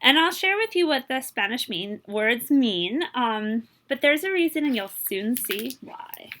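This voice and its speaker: A woman reads a picture book aloud for children. Her voice sits fairly high and is bright, with wide, animated swings in pitch. She reads expressively and stops to explain and translate Spanish words. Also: measured pace, a little hiss or hum. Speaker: librarian reading aloud.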